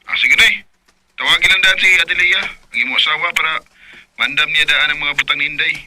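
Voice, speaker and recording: An actor with a Filipino accent playing a helpful, casual younger brother on a call in a Bisaya radio drama. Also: male voice, on the high side, steady tone, brisk, pushed and bright. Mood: friendly, practical. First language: Cebuano. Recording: noisy, radio-like phone call with clicks.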